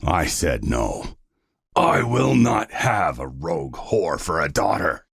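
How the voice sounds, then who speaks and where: A woman voicing an enraged, cruel middle-aged werewolf father in an audiobook, growling harsh commands in a rough, gravelly voice. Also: extremely low pitch, animated, slow, darkish tone, no echo, clean studio sound, pitched below the performer's own voice, full character voice.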